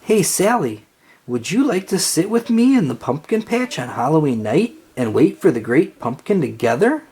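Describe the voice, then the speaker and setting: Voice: mid-range voice, big pitch swings, normal speed, a little mellow, breathy edge. A storybook reader playing an earnest young boy who explains things with devotion. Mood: hopeful.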